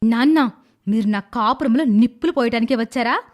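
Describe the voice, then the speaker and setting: Woman acting as spoiled young daughter-in-law, haughty, short-tempered, scolding, fairly high pitch, moral-story drama. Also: brisk, close to an everyday voice. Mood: angry, scornful.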